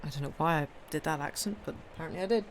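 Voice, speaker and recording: Slightly croaky woman, rambling storyteller. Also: deep voice, rapid-fire, a little hiss or hum. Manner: rambling, stumbling, self-correcting chatter. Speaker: storyteller and live-stream host. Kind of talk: casual chat then reading a ghost story aloud.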